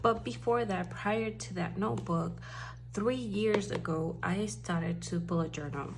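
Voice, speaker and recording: A woman's voice: medium pitch, unhurried, background noise. A woman from Puerto Rico with a Puerto Rican accent, chatting casually and rambling a little as she talks through planners in a home vlog. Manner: chatty, rambling, self-correcting. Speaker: planner vlogger, stay-at-home mom.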